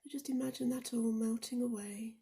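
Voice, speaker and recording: A woman guides a body scan meditation in a mellow, relaxed voice with a creaky edge. Her delivery is soft and soothing, often sinking close to a whisper, and she leaves gentle pauses between phrases. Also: fairly high pitch. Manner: slow and deliberate with gentle pauses.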